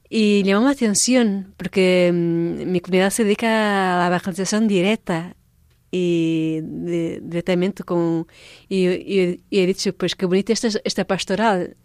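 Portuguese-born nun, radio guest, low-ish smooth voice, hesitant phrasing, dry close mic. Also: female voice, darkish tone, no echo. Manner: halting, searching for words, many restarts. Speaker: religious sister, guest. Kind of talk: radio interview.

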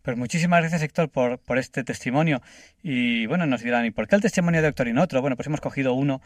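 A man's voice: medium pitch, fast talker, no room sound. A man hosting a radio interview, reflective and affirming, with some hesitations. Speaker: radio host.

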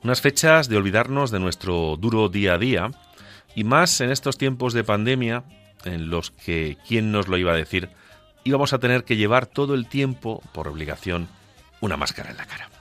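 A man's voice: on the low side, animated, normal speed, a bit bright. A man with a central Castilian Spanish accent delivering an impassioned, declamatory radio monologue, rhetorical and emphatic. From La Mancha, central Spain. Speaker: radio contributor. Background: music under the voice.

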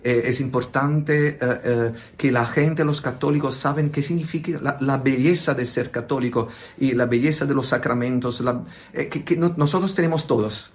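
A man's voice: mid-range voice, slight background noise. A man, an Italian priest with an Italian accent, speaking Spanish as a second language and telling his testimony in a hesitant way, often searching for words. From Italy. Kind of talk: radio interview testimony.

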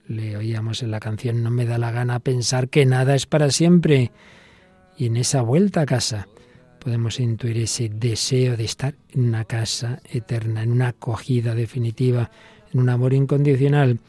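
A man, a priest, hosting a religious radio talk show in a measured, reflective way. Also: mid-range voice, fairly even intonation, warm tone, faint music behind. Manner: measured, thoughtful, quoting songs and authors.

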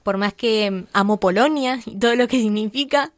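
A woman's voice: a little high, moderate pace, no room sound. A young woman from the Buenos Aires area with an Argentine accent, a violinist speaking earnestly as a radio interview guest. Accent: Argentine Spanish (Buenos Aires). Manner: earnest, heartfelt, with soft laughs.

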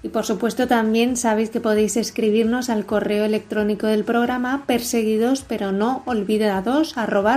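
A woman's voice: a little high, dark tone. A woman giving semi-scripted announcements as a radio contributor.

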